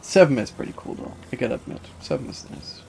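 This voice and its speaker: A man live streaming a video game, chatting casually with viewers in a hesitant, halting way that often repeats words. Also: medium pitch, huge pitch swings, vocal fry, darkish tone. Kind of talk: casual chat while gaming, reading and answering viewer comments. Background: video game music.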